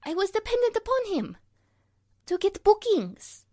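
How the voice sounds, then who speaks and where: A woman's voice: very high voice, big pitch swings, unhurried, dark tone, heavily acted. A woman playing a haughty, fiery ballerina with a Spanish accent and broken English, in 1940s radio drama style. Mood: bitter, defensive. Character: haughty, fiery foreign prima ballerina, easily offended.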